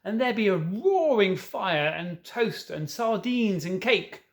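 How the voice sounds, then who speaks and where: A man's voice: sits high, very animated, slow pace, voice pushed up, exaggerated voice. A man voicing a friendly, melancholy faun from a children's storybook, speaking in courteous, old-fashioned formal phrases. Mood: eager, hospitable. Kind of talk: children's storybook read aloud.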